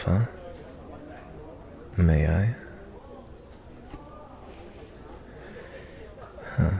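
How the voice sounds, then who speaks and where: A man playing a gentle, reflective cowboy bounty hunter in a softly spoken fantasy ASMR roleplay, talking in a folksy, kindly, rambling way. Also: heavily acted. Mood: curious, friendly. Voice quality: low, gravelly.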